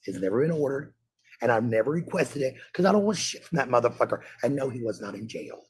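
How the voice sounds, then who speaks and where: A man's voice: mid-range voice, very animated, quick pace, slightly rough. A man on an angry livestream rant, combative and swearing heavily, repeating phrases for emphasis. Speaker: parent hosting a livestream.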